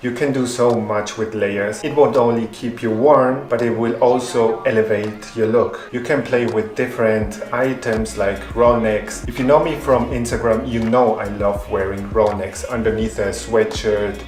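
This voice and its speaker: A man in his twenties, a native Spanish speaker raised in Germany, reading out fan questions and answering them calmly in a vlog. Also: on the low side, echoey room. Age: 20s. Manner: reads each question aloud, then answers in a calm, even way. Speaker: fashion YouTuber. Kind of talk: Q&A vlog narration.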